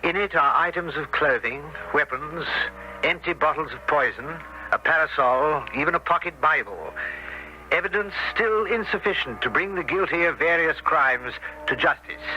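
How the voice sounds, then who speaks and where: An actor playing a sober Scotland Yard inspector who narrates gravely and precisely in a 1970s radio drama. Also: male voice, on the high side, lively intonation, moderate pace, pushed and bright, lo-fi sound, a little hiss or hum. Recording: old 1970s radio broadcast.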